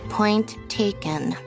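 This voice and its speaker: A young game heroine, deadpan and unbothered. She talks slowly in a lightly high voice, with music behind her. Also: exaggerated voice. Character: deadpan, unhurried young magician's assistant girl.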